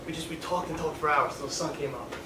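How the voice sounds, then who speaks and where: A man's voice: a little high, quick pace, natural voice. A young man acting on stage as a dead teenage best friend, nostalgic, casual and earnestly questioning. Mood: nostalgic, probing. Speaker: stage actor. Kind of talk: live stage play acting, conversational dialogue. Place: theatre stage indoors. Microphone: far camera. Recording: echoey, distant, noisy theatre recording.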